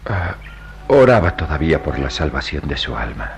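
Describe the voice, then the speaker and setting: Very deep, solemn priest. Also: male voice, sing-song, vocal fry, slight background noise, exaggerated voice. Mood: calm, grave. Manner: slow, reverent, declamatory. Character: solemn, devout, forgiving priest praying at a graveside. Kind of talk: Latin American radio drama acting. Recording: loud music under the voice.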